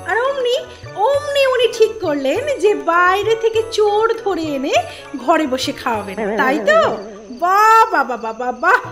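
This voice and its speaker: A woman's voice: extremely high pitch, sing-song, normal speed, over music. A woman voicing a short-tempered housewife in a comic cartoon, scolding and nagging with sarcastic indignation. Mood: sarcastic, indignant.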